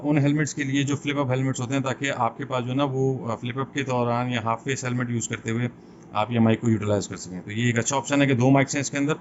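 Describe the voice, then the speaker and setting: Man, medium pitch, conversational reviewer, explanatory, English terms mixed in, dull recording with faint noise. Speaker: product reviewer, host. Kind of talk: unboxing and review talk, explanatory.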